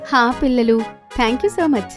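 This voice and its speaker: A woman voicing a kind, nervous young mother in a children's cartoon, gentle and easily worried. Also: a little high, slow pace, soft background music. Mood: happy, reassuring.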